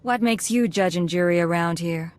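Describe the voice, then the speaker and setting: An actress playing a cool, probing Imperial secret agent in a video game, controlled and terse. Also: female voice, lowish voice, normal speed, clear, resonant tone, lightly acted. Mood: assured. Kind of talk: video game dialogue acting.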